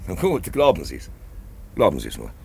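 A man acting a pensive, insistent narrator figure in a 1950s radio play, declaiming and asking probing questions with hesitant pauses. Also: low voice, wildly swooping pitch, creaky, darkish tone, slight background noise, full character voice. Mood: urgent. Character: pensive, insistent male narrator figure who stages the action. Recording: 1950s radio drama recording.

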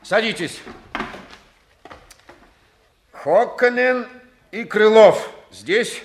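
A man's voice: sits high, slow pace, creaky, heavily acted. A man playing a formal, courteous school director in a 1960s Soviet radio play, speaking with authority. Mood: official.

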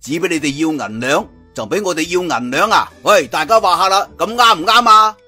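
A man's voice: on the high side, brisk, bright, forceful tone, faint music behind, pitched above the performer's own voice. A Cantonese man voicing a crafty, brash old gambler who blusters and teases, in an audio storybook. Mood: mocking, rousing. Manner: blustering, teasing.

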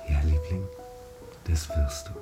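An actor playing a grieving husband who is a smooth liar, in a German radio drama. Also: male voice, rumbling low, steady tone, unhurried, heavy reverb, recorded from a distance, loud music behind the voice. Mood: tender, soothing.

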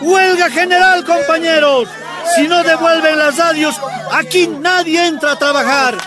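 A man playing a fiery union miner, shouting a rousing rally call in a radio drama. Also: extremely high pitch, fairly even intonation, unhurried. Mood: militant, rousing. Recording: loud crowd and music, outdoor rally.

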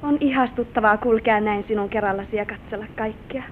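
An actress playing a sweet, admiring young bride in an old Finnish radio play, speaking in a gentle, exclaiming, theatrical way. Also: female voice, steady tone, close to an everyday voice. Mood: delighted. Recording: narrow-band, hissy vintage radio recording with rumble.

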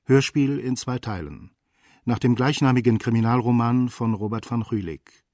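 A man of middle pitch announces a radio drama. The read is scripted, formal credit style, in a dry studio. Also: moderate pace, darkish tone, no room sound.

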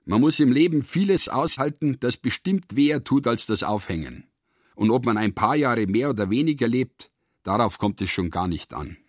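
A man narrating a literary radio drama in measured, deliberate phrases. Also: medium pitch, big pitch swings, dry recording, studio quality.

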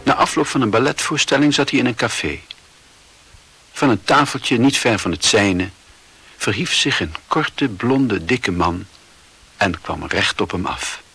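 A man's voice: fairly low pitch, lively intonation, a little slow, pushed and bright, slightly rough. A man reading scripted literary narration as the narrator of a radio play.

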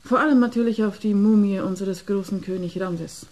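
A cool, determined agent in a radio drama, acted by a woman. The voice is mellow and mid-pitched, and the delivery is composed, businesslike and assertive. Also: moderate pace, natural voice. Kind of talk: German radio play acting.

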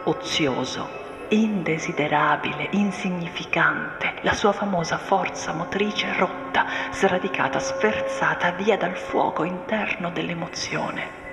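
A woman performing a solemn, deliberate dramatic monologue of literary text, often sinking into breathy whispers. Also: lowish voice. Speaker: stage actress performing a monologue. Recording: echoey, long reverb, music underneath. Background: music and soundscape.

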